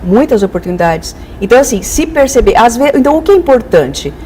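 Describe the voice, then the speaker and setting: Brazilian woman explaining, slightly high voice. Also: very animated, a little fast, warm tone, slight background noise. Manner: didactic, illustrates with acted-out examples. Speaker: therapist, expert guest. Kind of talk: conversational explanatory interview.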